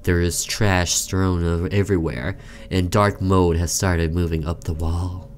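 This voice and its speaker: A man's voice: low-pitched, little pitch movement, unhurried, slight background noise. A man hosting a gaming video, reading story text aloud like a storyteller and adding casual reactions.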